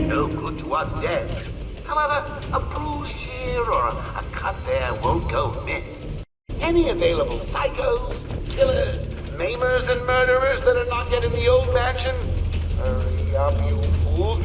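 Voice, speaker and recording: An actor playing a manic, cruel male clown villain in a video game, theatrical and mocking, swinging between purrs and shouts. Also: extremely high pitch, reverberant, recorded from a distance, phone-quality sound, heavily acted. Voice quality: theatrical, sing-song, cackling.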